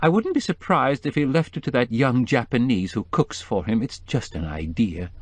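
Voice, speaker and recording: A man voicing a distraught middle-aged woman who keeps breaking into tears, her voice quavering and climbing high. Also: expressive, normal speed, dry recording, close to an everyday voice. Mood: tearful.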